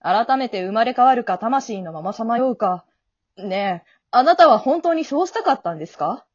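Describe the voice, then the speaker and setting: A woman's voice: fairly high pitch, expressive, moderate pace, a little mellow, breathy edge, dull audio. A woman acting in an amateur radio drama as a polite, kindly male guide of the afterlife, speaking in an earnest, businesslike, reassuring way. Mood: earnest, probing.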